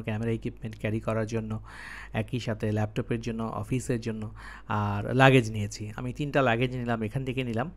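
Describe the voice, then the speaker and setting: Male travel vlogger, Bangladeshi accent, mid-pitched voice, measured explanatory narration sprinkled with English. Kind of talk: vlog narration.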